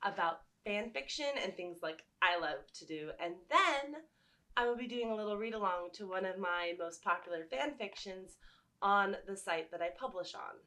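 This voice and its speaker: Bright-voiced woman chatting casually to viewers. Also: mid-range voice, expressive, normal speed. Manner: enthusiastic, rambling storytelling. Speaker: vlogger and fan fiction writer.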